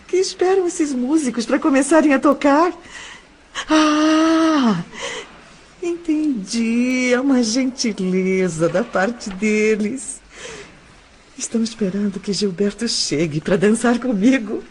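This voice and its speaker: A woman's voice: fairly high pitch, unhurried. A woman playing a lovesick, delirious young woman in an audio drama, emotional and pleading for her love. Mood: wistful delusion. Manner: breathy sighs, tearful emotional outbursts.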